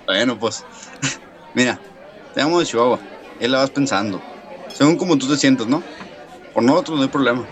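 A male actor plays a practical, considerate engineer. His mid-pitched voice is casual and questioning, as in a radio drama. People murmur in the background. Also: normal speed, pauses often, natural voice. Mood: considerate, hesitant. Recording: café crowd murmur, indoor room.

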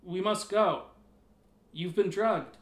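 A man's voice: on the high side, moderate pace, natural voice. A man voicing an elderly male priest who gives urgent, clipped commands. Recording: echoey room.

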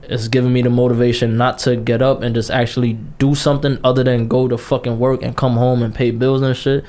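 A man's voice: mid-range voice, flat delivery, fairly quick, a little mellow. A man in his late twenties co-hosting a casual podcast, talking bluntly and passionately, repeating himself and cursing freely. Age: 20s. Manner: blunt, repetitive, passionate, curses a lot. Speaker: podcast co-host.

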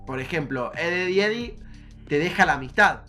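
A man's voice: fairly high pitch, expressive, moderate pace, sharp tone, faint music behind. A young man with an Argentine accent chatting casually as a podcast host.

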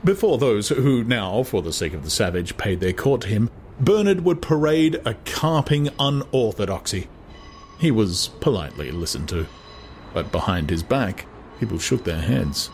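A man's voice: fairly low pitch, very animated, normal speed, darkish tone. A man narrating a literary novel as a dramatized audiobook. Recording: clear background noise.

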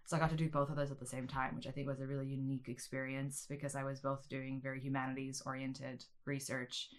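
A woman's voice: very deep voice, little pitch movement, fast. A woman in her thirties from South Africa, a media studies teacher answering interview questions at length with many restarts and fillers. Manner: rambling, many restarts and ums.

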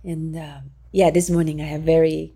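Low gravelly woman voice, dark and mellow, speaks softly in halting non-native english. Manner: calm and gentle, halting with many fillers. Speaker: singing teacher. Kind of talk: conversational interview.

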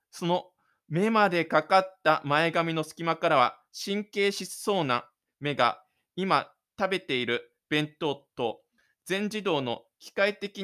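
A man's voice: fairly high pitch, fairly bright tone. A man presenting his own self-made audio drama, moving between casual radio-show talk and scripted narration.

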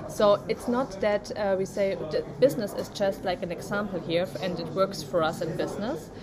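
A woman co-hosting a casual motivational podcast, speaking in halting English with non-native phrasing and many fillers. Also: medium pitch, fairly even intonation, crowd noise. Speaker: podcast co-host.

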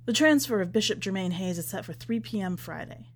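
A woman's voice: mid-range voice, expressive, brisk. A woman reading a short story aloud as a podcast narrator, with dramatic scripted delivery.

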